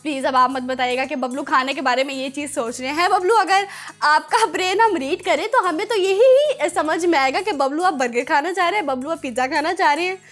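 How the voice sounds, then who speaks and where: A woman's voice: sits high, a little fast, fairly bright tone, soft background music. A woman with a Pakistani accent presenting a children's show, playful and encouraging as she talks to kids.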